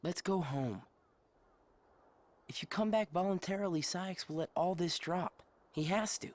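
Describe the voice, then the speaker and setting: A man's voice: sits high, a little slow, a little mellow. An actor playing an earnest, anxious teenage boy in a video game cutscene, with a pleading, insistent manner. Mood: concerned, coaxing.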